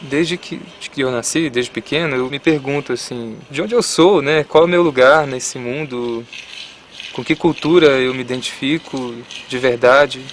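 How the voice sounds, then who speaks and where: Cara de goiás sem sotaque nenhum, fala calmo, meio filosofando, chiado leve atrás. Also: male voice, mid-range voice, moderate pace, slight background noise. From Goiás, Brazil. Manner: calm, musing, asks himself questions. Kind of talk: reflective interview.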